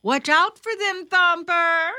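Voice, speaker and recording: Woman voicing a fretful, high-voiced mother rabbit. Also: takes it slowly, bright, forceful tone, dry recording, studio quality, full character voice. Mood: cautioning.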